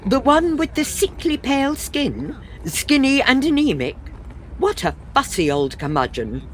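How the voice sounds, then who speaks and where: A practical, dryly exasperated wife of middle years acted by a woman in a comic period radio farce. She speaks slowly with animated pitch, over faint background noise. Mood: skeptical.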